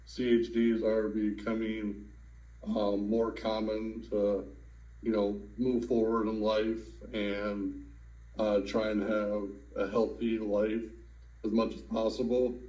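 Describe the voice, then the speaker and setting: A man in his thirties calmly sharing his own story in a personal testimonial, with some fillers. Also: on the low side, reverberant, rough recording. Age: 30s. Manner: slow, calm, with fillers. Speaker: adult with congenital heart disease.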